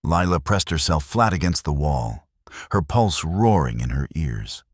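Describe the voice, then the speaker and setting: A man narrating a western romance audiobook in a measured, dramatic way. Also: extremely low pitch, lively intonation, dry recording, clean studio sound. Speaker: storyteller.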